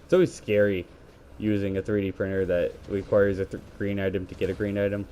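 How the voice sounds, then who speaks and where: Male gamer, low mellow scratchy voice, rambling play-by-play into a headset, faint hiss behind. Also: level tone, brisk. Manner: rambling, self-correcting play-by-play. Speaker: gaming video creator. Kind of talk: let's play commentary. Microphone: gaming headset.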